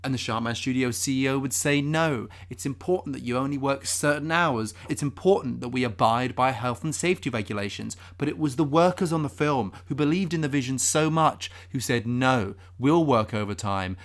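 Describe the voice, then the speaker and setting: A man's voice: mid-range voice, a little fast, hardly any pauses, lightly acted. A man playing an earnest podcast host who hesitantly and blithely excuses film disasters in mock-serious banter.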